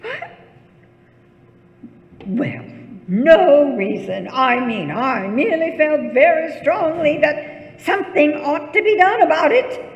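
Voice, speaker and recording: A woman's voice: sits high, animated, slow, long pauses, dull audio, exaggerated voice. A woman playing a wealthy, imperious invalid wife who is anxious and easily flustered, in 1940s radio suspense style. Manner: agitated, haughty, dramatic, stammering when flustered. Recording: echoey stage or hall, long reverb.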